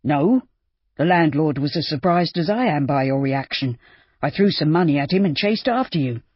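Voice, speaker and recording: Woman doing a calm, firm young gentleman. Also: low voice, normal speed, breathy edge, no echo. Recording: dull muffled audiobook recording.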